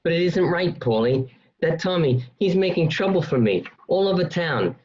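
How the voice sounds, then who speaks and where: Man playing a high-voiced, frightened, pleading bar owner. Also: expressive, moderate pace, darkish tone, close to an everyday voice. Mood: anxious pleading. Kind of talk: amateur radio play acting. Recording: muffled home internet recording.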